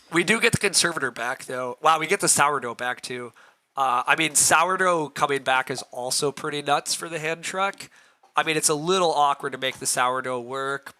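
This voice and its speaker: Hoarse man thinking aloud over a game. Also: fairly high pitch, bright, forceful tone. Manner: thinks aloud, answers chat, frequent throat clearing. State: rough, hoarse voice. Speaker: streamer playing a board game. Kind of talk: live-streamed game commentary, thinking aloud.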